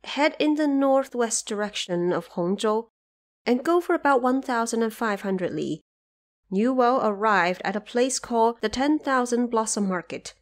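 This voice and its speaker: A woman's voice: fairly high pitch, expressive, moderate pace, close to an everyday voice. A woman voicing a devoted, anxious noblewoman and mother who instructs earnestly in an audio drama.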